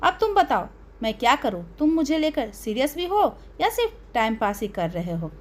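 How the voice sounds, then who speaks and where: Woman voicing a pushy, high-pitched girlfriend. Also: sing-song, normal speed. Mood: demanding. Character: pushy, callous young girlfriend in storybook drama.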